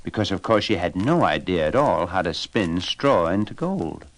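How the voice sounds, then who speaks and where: Animated male storyteller, lowish voice. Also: normal speed. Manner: expressive, dramatic. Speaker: audiobook narrator. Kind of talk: storybook narration.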